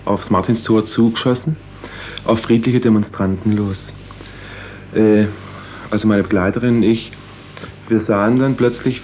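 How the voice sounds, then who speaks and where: A man, a medic, telling an eyewitness account in a halting way with many fillers. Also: on the low side, a little mellow, slight background noise, rough recording. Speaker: interviewee, medic.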